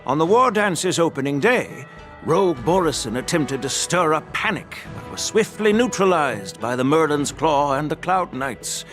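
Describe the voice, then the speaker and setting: A man playing a venerable elder strategist in a video game, speaking in a formal, deliberate, weighty way. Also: on the high side, lively intonation, fairly bright tone, faint music behind. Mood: resolute. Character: venerable elder statesman, measured, sagely strategist. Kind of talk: scripted video game dialogue.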